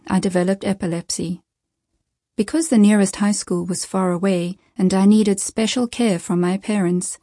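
Mellow woman reading a life story. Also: mid-range voice, dry recording, clean studio sound. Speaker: reader of a first-person life story. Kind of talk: scripted narration.

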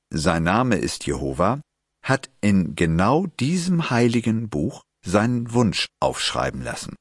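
A man reading a magazine article aloud in a measured, scripted way and posing interview questions. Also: low voice, no echo, clean studio sound. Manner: measured, even, clear read. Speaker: narrator and interviewer.

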